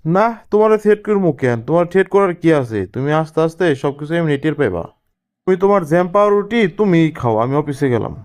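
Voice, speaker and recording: Man acting a scolding young husband, family cartoon, high voice, rapid questioning, Bangladeshi Bengali accent. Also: expressive, darkish tone, lightly acted. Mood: scolding, fed up. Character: exasperated young husband, loyal to his family. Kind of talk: cartoon family comedy drama.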